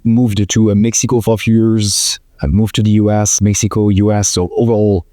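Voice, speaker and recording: Man, French accent, middle-aged, halting with fillers, low-pitched, mellow tone. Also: little pitch movement, dry recording, studio quality. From France. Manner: hesitant, many "uh" fillers. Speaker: company president, guest. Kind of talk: interview conversation.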